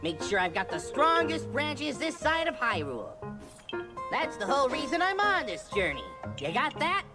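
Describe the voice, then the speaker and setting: A small, chatty forest spirit from a video game, high-pitched and swooping wildly in tone. The sprite is cocky and wheedling, full of slang and stammers, with prominent music playing behind. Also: female voice, big pitch swings, moderate pace. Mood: cocky, boastful. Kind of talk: animated video game cutscene acting. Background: game soundtrack music.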